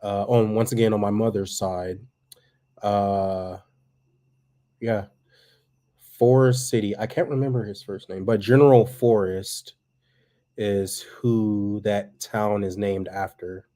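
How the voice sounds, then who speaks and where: A man's voice: lowish voice, slow, long pauses. A man in his thirties, co-hosting a home livestream in casual, rambling chat. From Texas. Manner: relaxed and rambling, with many fillers. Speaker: livestream co-host. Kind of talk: casual chat reading viewer comments.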